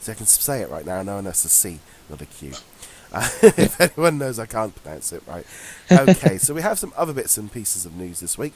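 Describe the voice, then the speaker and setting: A man co-hosting a casual webcast, congested from a head cold, chatty and quick to laugh. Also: on the low side, very animated, a little mellow. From England. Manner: chatty, laughs often, frequent ums. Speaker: podcast co-host. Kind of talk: casual chat.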